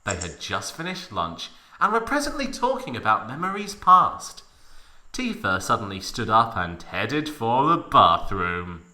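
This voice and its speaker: Male narrator for comic fanfic reading: sharp, forceful tone, hugely swinging pitch, hammy emphasis. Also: sing-song, unhurried. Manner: theatrical, exaggerated emphasis. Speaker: narrator of a fan fiction reading. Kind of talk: mock dramatic reading with comic asides.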